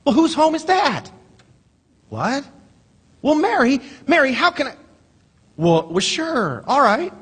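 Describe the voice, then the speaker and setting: An actor playing an earnest, flustered young loan manager who stammers and pleads, in old-time radio drama style on a theater stage. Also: male voice, very high-pitched, very animated, takes it slowly, pauses often, fairly bright tone, exaggerated voice. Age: young adult. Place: live stage in a large theater. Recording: echoey theater hall.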